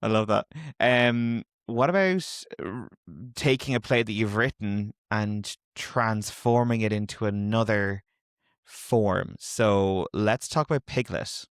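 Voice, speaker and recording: A man's voice: fairly low pitch, takes it slowly, clear, resonant tone, no room sound, clean studio sound. A man hosting an interview podcast, hesitant with many ums as he asks his questions. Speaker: podcast host, drama teacher. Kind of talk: casual interview chat.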